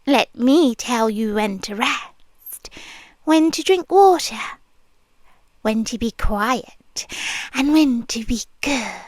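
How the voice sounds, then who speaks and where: A woman's voice: a little high, animated, no room sound. A woman playing a whispering possessive nurse. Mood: coaxing. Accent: British. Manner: slow, soft, intimate whispering close to the ear. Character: possessive female nurse, soft and controlling. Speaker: ASMR roleplay performer. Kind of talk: whispered ASMR roleplay.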